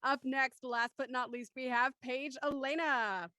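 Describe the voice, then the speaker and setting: A woman's voice: sits high, fairly even intonation, unhurried, bright, forceful tone, no echo. A woman hosting a casual panel chat, introducing and steering the talk. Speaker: host.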